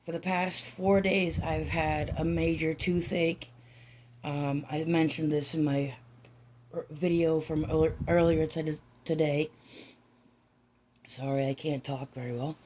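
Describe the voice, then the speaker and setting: A woman chatting softly in a home vlog, her voice raspy and hoarse from a raw throat, halting with many ums and restarts. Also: low-pitched, slight background noise. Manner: soft, hushed, near-whispering. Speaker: doll-collecting vlogger. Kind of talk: casual vlog chat.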